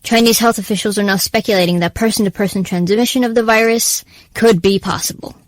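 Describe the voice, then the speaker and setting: A woman's voice: mid-range voice, steady tone, no room sound, close to an everyday voice. Woman acting a serious presidential aide, dry sound. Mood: urgent, grave. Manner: formal, deferential, measured. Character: serious, formal female presidential aide giving briefings. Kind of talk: audio drama acting, official briefing.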